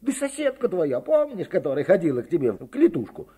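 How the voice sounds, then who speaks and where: Man acting an excited, hurried young comrade, high-pitched. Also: breathy edge, full character voice. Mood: excited, urgent. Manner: hurried, eager, urgent. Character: excited, breathless young male comrade bringing urgent news. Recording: 1950s radio archive recording.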